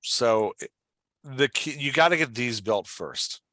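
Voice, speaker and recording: A man's voice: mid-range voice, expressive, measured pace, pushed and bright, no room sound. A man hosting and coaching in a casual conversation, explaining step by step and often checking that he is understood. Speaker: podcast host and writing coach.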